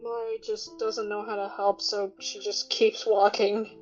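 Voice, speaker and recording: A woman's voice: medium pitch, flat delivery, a little slow. A woman playing a tabletop roleplaying game, casually narrating and speaking for her own character. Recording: dull lo-fi mic, music underneath.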